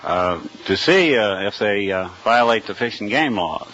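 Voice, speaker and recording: An earnest man, a game warden, answers a quiz host's teasing questions stiffly and literally. His voice is low and bright, broken by many pauses. The old broadcast recording sounds dull and muffled. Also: animated. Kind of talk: hesitant guest answers. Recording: dull archive radio recording.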